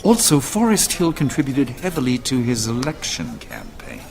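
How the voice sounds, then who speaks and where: An actor playing an earnest, courteous school leader in an audio drama, formal, measured and gravely persuasive. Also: male voice, mid-range voice, huge pitch swings. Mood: grave, urgent. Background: music.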